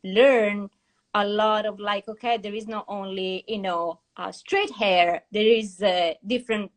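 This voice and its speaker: A woman's voice: mid-range voice, steady tone, a little slow. A woman from Tuscany with an Italian accent, a hairstylist guest telling her story in a casual chat over a live video call, with non-native grammar and many restarts. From Italy (Tuscany). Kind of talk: casual live-stream interview. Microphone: phone in a live video call. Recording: compressed live-stream audio.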